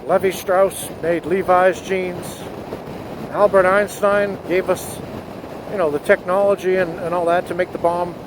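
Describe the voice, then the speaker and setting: A man's voice: sits high, little pitch movement, measured pace. A man from the United States giving an earnest spoken essay while riding his motorcycle, speaking into a helmet headset mic. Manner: earnest, rising to patriotic fervor. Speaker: motovlogger. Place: on a motorcycle outdoors. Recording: wind noise on helmet mic.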